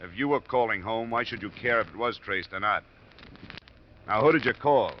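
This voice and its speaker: Man acting a low-voiced hard-boiled radio detective. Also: animated, moderate pace, slight background noise, natural voice. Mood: pressing. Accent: American. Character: tough, shrewd, unhurried male insurance investigator. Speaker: lead actor and narrator. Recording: muffled old radio recording, music behind.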